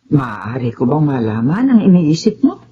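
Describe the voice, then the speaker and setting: An actress playing a kindly older auntie in a Tagalog radio drama, counselling gently and expressively with faith. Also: female voice, rumbling low, sing-song, moderate pace, slightly rough, heavily acted. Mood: caring, counselling. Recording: dull audio, music bed behind.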